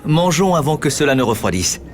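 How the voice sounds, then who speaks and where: A man voicing a polite, mild-mannered young gentleman professor in a video game. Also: fairly high pitch, sing-song, brisk, soft background music. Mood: calm, courteous. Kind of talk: video game cutscene voice acting.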